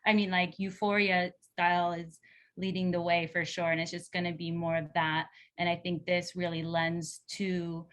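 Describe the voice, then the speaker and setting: A woman's voice: lowish voice, level tone, moderate pace. A woman speaking as an actor giving casual feedback in a group, enthusiastic and meandering. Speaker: actor giving feedback after a table read.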